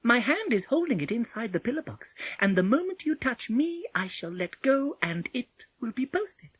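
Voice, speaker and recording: A clever little storybook girl, voiced by a woman, cautious but cheeky. Her voice sits high and lilts up and down a great deal. She sounds polite and matter-of-fact, always questioning. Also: sing-song, normal speed, slightly rough, no echo, highs cut off. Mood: calm.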